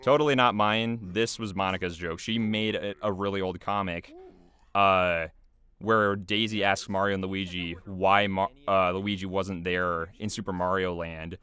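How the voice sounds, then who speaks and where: A man's voice: sits low, steady tone, a little slow, bright, forceful tone, clean tone. A man giving casual, rambling, self-effacing behind-the-scenes commentary in a chatty way. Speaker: video creator giving commentary. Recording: music playing behind the voice. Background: music.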